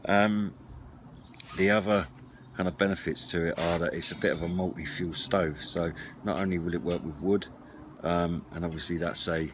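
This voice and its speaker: A middle-aged man with a low voice talks informally through his kit, explaining as he goes and pausing to find words. He is recorded outdoors at a table, with clear background noise and clatter around the voice. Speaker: presenter of a CB radio and camping gear channel. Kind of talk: casual product walk-through to camera. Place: outdoors at a wooden garden table by a house.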